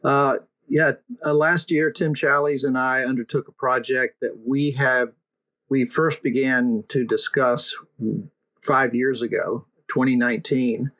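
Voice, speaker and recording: A man's voice: medium pitch, steady tone, measured pace, fairly bright tone, poor audio. A male interview guest speaking earnestly, often restarting and repeating words as he recounts his work. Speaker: podcast guest, missions filmmaker. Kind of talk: conversational interview.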